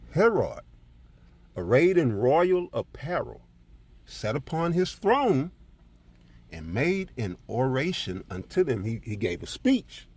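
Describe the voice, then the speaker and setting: A man with a husky voice teaching scripture as a preacher, in an emphatic, colloquial style full of rhetorical check-ins. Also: on the high side. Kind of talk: fiery informal Bible teaching with commentary on scripture readings.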